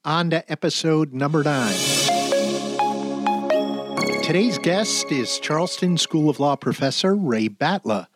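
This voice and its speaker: A man hosting a podcast, reading out his introduction and questions in a smooth, scripted way. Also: a little high, lively intonation, a little slow, pauses often, over loud music. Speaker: podcast host.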